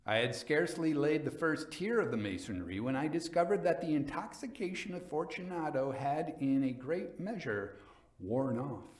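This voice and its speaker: A man giving a dramatic reading as a coldly vengeful nobleman recounting his murder in a gothic tale, theatrical, deliberate and emphatic. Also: a little high, animated, roomy sound, close to an everyday voice. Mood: cold, measured.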